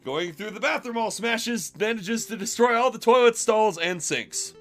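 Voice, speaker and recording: A man doing a mocking, theatrical reading of fan fiction on a livestream, animated and given to disbelieving asides. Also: sits high, moderate pace, faint music behind.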